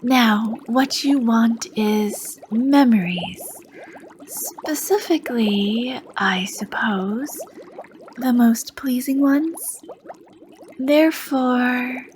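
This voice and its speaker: A woman playing a charming, sly potion shopkeeper, talking slowly and softly with a teasing edge and dipping into whispers. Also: fairly high pitch, a little hiss or hum. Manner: slow, soft-spoken, teasing, drifting into whispers. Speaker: ASMR roleplay performer. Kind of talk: ASMR roleplay.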